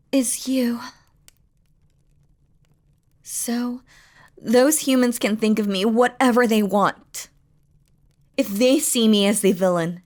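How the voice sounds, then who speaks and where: Woman playing a slow, breathy, tender demon queen. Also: on the high side. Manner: soft, breathy, often dropping to a whisper, formal wording. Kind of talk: scripted romantic audio roleplay, spoken intimately to the listener.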